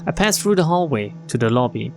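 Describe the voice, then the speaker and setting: A man's voice: medium pitch, sing-song, a little fast. A man streaming a game, reading its narration aloud expressively with wry, casual commentary. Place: game stream with music behind.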